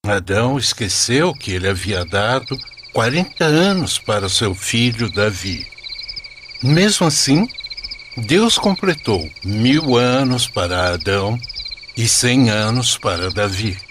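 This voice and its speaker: A man's voice: lowish voice, very animated, takes it slowly, noisy background. A man narrating a scripted religious story in a solemn storyteller's manner.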